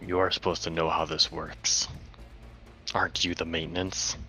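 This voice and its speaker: A man's voice: deep voice, flat delivery, moderate pace. A man playing a tabletop game and talking casually. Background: soft music.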